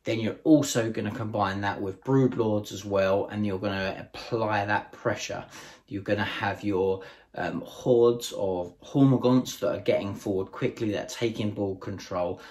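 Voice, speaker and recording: Hoarse man giving enthusiastic strategy tips. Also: lowish voice, steady tone, unhurried, darkish tone. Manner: enthusiastic, chatty, addresses viewers as guys. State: hoarse, losing his voice. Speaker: tabletop wargame channel host. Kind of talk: casual strategy tutorial to camera.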